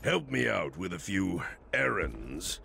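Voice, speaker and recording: An actor playing a huge, smug crime boss who talks slowly and condescendingly, with a deep gravelly voice. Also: male voice, sing-song, slight background noise, exaggerated voice. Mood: smug, menacing. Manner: slow, measured, condescending. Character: menacing crime boss, huge bald man, calm and smug. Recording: game cutscene with loud music.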